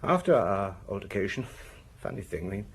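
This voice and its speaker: An actor playing a hard-drinking, maudlin husband under suspicion in an audio drama, speaking in a hesitant, confessional way. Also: male voice, on the low side, sing-song, normal speed, gravelly, husky, exaggerated voice. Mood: uneasy, tipsy. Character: maudlin, hard-drinking French husband, a shaken murder suspect.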